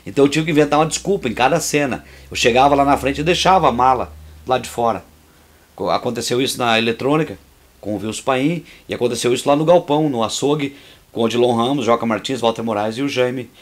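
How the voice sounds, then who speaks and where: A man's voice: medium pitch, fast. A man, a filmmaker and actor, giving outspoken and opinionated commentary on how his film was made, talking freely with restarts. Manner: restarts and repeats words while talking freely. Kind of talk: commentary over a making-of.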